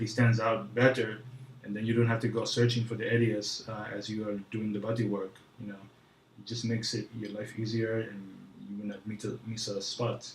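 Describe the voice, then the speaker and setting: A low-voiced man gives an informal tutorial in a put-on French accent. His delivery is flat and halting, with hesitant phrasing. The recording is roomy and echoey. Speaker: tutorial presenter. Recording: roomy echo, faint background noise.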